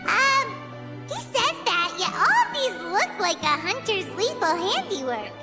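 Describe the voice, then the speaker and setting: A woman voicing a tiny childlike fairy companion in a video game, cheeky, exclamatory and easily exasperated, with a squeaky voice. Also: sing-song, measured pace, over loud music, heavily acted. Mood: skeptical, teasing. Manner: sassy, exclamatory, slangy.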